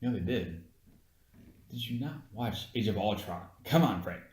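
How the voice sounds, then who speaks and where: Blunt, deep-voiced man in an echoey room. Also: animated, measured pace, a little mellow. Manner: blunt, argumentative, swears freely. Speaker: podcast co-host. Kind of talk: casual movie review chat.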